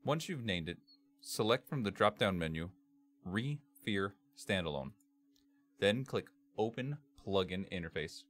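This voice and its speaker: Male tutorial presenter, bass-heavy and fairly low, reading out instructions step by step at a measured delivery. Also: expressive. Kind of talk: scripted software tutorial narration. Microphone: computer microphone, screen-capture voice-over.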